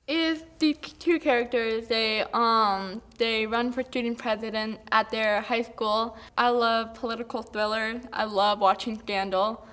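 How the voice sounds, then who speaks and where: A woman's voice: on the high side, fairly even intonation, a little slow, hardly any pauses, a bit bright. A teenage young woman from Colorado talking casually in an interview. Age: teens. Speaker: student playwright.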